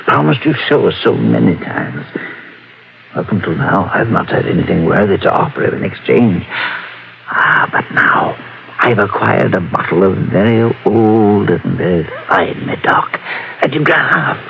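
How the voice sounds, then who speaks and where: A man's voice: fairly low pitch, animated, measured pace, creaky. A man playing a genteel elderly wine obsessive in an old-time radio drama, courtly, hesitant and fervent. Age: older. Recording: dull, noisy old radio recording.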